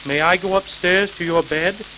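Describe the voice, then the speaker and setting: A narrator voicing a polite, pleading enchanted frog in a loud, formal, sing-song way, on an old 78 rpm record. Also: mid-range voice, steady tone, normal speed, natural voice. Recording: scratchy 1940s 78 rpm record, surface hiss.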